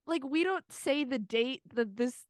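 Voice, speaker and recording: A woman co-hosting a podcast, joking energetically in casual banter and swinging loud at times. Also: sits high, lively intonation, a little slow, no echo, clean studio sound. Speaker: podcast co-host. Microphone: remote call, separate room.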